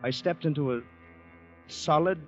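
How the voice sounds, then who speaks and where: An actor playing an anxious, fragile war veteran, brooding and dramatic in the style of 1940s radio suspense. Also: male voice, a little high, unhurried, close to an everyday voice. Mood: brooding, recounting. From Canada. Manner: brooding, dramatic, talking to himself. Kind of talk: 1940s radio acting, first-person suspense narration. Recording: dull vintage 1940s radio recording, music behind.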